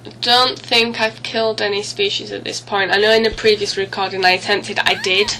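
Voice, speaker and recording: Woman with a creaky voice and a cold. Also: medium pitch, level tone, a little fast, pushed and bright, slight background noise, poor audio. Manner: rambling, apologetic, self-correcting. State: getting over a cold, slight cough. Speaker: gaming video host. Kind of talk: casual let's play commentary, recorded over footage. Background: music.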